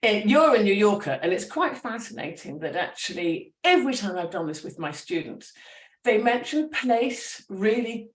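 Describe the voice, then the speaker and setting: A woman, a writer and teacher, talking through her ideas with animated thoughtfulness and many restarts at a public talk. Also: mid-range voice, normal speed. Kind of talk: conversational storytelling. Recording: roomy echo.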